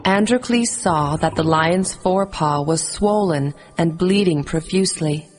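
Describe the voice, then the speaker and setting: A woman reading scripted narration for a children's animated story. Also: lowish voice, unhurried. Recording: music underneath.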